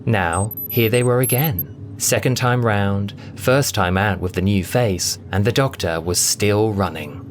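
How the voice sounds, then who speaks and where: A man narrating a scripted science-fiction story with a theatrical, expressive delivery. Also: lowish voice, measured pace, few pauses, slight background noise. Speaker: audiobook narrator and voice performer. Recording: loud music bed under the voice. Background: music.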